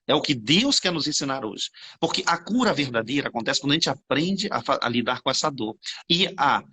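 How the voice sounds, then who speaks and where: Brazilian man, live-stream host, bright husky voice, rapid and preachy. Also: medium pitch, animated. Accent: Brazilian Portuguese. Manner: fervent, preachy, addresses viewers as "gente". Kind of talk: animated spiritual conversation.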